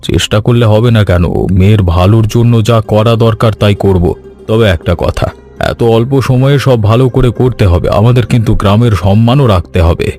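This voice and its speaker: Man playing mellow, dignified village gentleman. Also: fairly low pitch, brisk, lightly acted. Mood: resolved. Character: dignified, generous village gentleman, father of the bride. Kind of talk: animated story dialogue. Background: background music.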